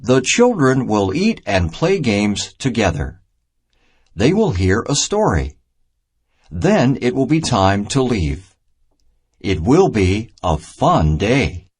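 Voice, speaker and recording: A man reading a children's math storybook aloud in a deliberate, simple teaching manner. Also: fairly low pitch, very animated, breathy edge. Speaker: narrator of a children's math reader.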